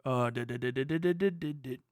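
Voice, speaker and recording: A man livestreaming casually, muttering figures as he reads and thinking aloud in halting bursts with plenty of swearing. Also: mid-range voice, no room sound, studio quality, faint music behind. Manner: swears freely. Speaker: live streamer.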